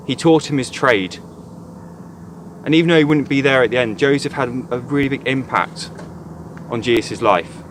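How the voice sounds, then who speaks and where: A man's voice: medium pitch, a little hiss or hum. A man, a church youth worker, gives an earnest, reflective devotional talk retelling a Bible story.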